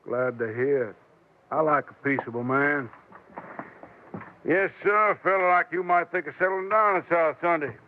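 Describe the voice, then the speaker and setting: An actor playing a self-satisfied, controlling sheriff in a 1950s radio western, folksy and authoritative. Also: male voice, fairly high pitch, moderate pace, a little hiss or hum, lightly acted. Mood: smugly genial. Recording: tinny vintage radio recording.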